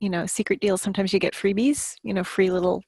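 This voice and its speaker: A woman's voice: medium pitch, fairly even intonation, quick pace, darkish tone, breathy edge, no echo, studio quality. A woman co-hosting a podcast, talking in a casual, conversational way. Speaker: podcast co-host. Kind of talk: casual chat.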